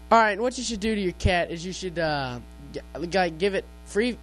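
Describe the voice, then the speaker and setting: A man's voice: high-pitched, animated, moderate pace, a bit bright, faint music behind. A man playing a bumbling male veterinarian who rambles with lots of fillers and hesitations. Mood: rambling.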